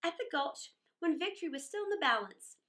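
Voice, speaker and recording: A woman reading a children's story aloud in an expressive, dramatic way, with musing asides and pauses. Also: high voice.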